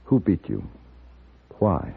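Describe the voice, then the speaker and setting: An actor playing a steady, courteous American gunfighter in a radio western, speaking and narrating in a measured, gentlemanly way. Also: male voice, full character voice. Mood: probing, concerned. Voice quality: deep, gravelly. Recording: muffled narrow-band old radio, faint hiss.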